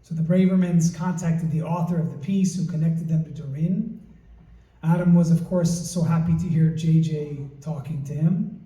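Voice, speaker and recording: A man presenting at an event, reading a prepared introduction in a measured, storytelling way in a large community hall. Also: a little high, mellow. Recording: roomy hall, mic at a distance.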